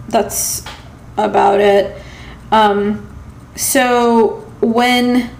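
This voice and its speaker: A woman's voice: fairly high pitch, slight background noise. A young woman with an American accent rambles hesitantly through a casual personal vlog, recorded at home on a device held at arm's length. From South Carolina, United States, originally Pakistan. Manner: hesitant, rambling, halting with long pauses. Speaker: vlogger. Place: small indoor room at home with shelves. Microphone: camera or phone at arm's length. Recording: echoey small room.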